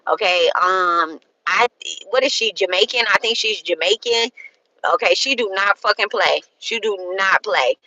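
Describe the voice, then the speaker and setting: Woman, 30s, bright and close-miked, dry sound; rambling casual spiritual talk, lots of "okay?" and blunt swearing. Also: medium pitch, moderate pace, no echo, rough recording. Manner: rambling, repeats herself, adds "okay?" often; frank and profane, colloquial phrasing. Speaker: spiritual content creator.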